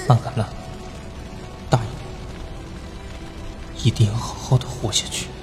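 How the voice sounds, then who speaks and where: A man's voice: mid-range voice, level tone, measured pace, over music, natural voice. A man playing a resolute, protective noble older brother in a fantasy audio drama, firm and emotional. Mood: pleading, tender. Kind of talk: Chinese audio drama acting.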